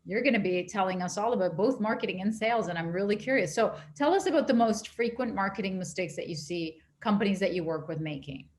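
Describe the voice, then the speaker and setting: A woman of medium pitch hosts a marketing podcast. She responds warmly to her guest and asks relaxed, encouraging follow-up questions. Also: fast, hardly any pauses. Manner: warm, encouraging reactions and questions. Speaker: podcast host. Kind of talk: casual interview.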